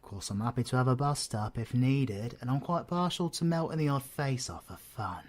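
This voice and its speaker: A British man playing a cocky, menacing, flirtatious supervillain in an audio roleplay, husky and sardonic, often dropping to breathy whispers. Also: medium pitch, lively intonation, normal speed, lightly acted. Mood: menacing, boastful. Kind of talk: scripted audio roleplay, ASMR drama.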